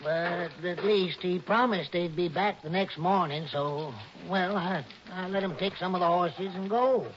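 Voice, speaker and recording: Backcountry male sidekick type: folksy, flustered echoer of others, vintage radio drama, clearly hissy dull recording. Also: sits high, a little slow, hardly any pauses. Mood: eager, flustered. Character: flustered, simple, eager-to-agree backcountry man. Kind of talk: 1960s radio drama acting. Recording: muffled, noisy old radio recording.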